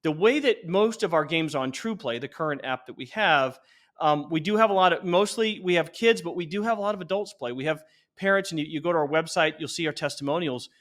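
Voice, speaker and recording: A man in his forties with a slightly high voice, talking as a guest in an interview. He explains things earnestly and conversationally, often doubling back to restart a sentence. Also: fairly quick, fairly bright tone. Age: 40s. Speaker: interview guest, company founder.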